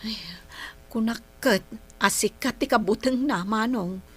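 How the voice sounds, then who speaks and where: Radio drama: apologetic young daughter, respectful, plaintive, highish voice over a music bed. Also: female voice, measured pace, breathy edge. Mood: worried. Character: dutiful, apologetic young daughter, tired from hospital watch.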